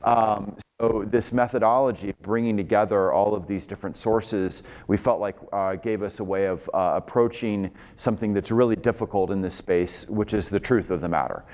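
A man's voice: on the low side, moderate pace, few pauses, a little mellow. A man seated on an auditorium stage, talking conversationally on a conference panel into a handheld microphone. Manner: conversational, hands off with questions. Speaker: co-author and panel speaker.

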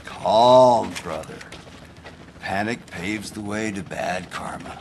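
An actor playing a spaced-out, paranoid middle-aged American hippie with a drawling, guru-like manner in a video game. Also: male voice, lowish voice, slow pace. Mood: soothing. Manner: drawling, pseudo-spiritual guru talk. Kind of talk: video game cutscene acting. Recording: loud game noise, vehicles, helicopter.

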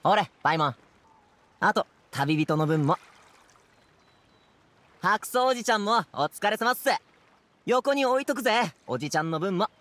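A friendly young working lad in an anime game. His voice sits fairly high and moves around a lot in pitch, with casual, boyish, chatty phrasing. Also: animated, normal speed, pauses often, fairly bright tone.

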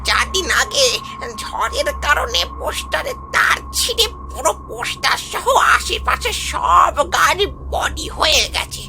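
A man voicing an agitated old village bystander in a high, strained exclaiming voice, for an animated horror story. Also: measured pace, gravelly, soft background music, full character voice.

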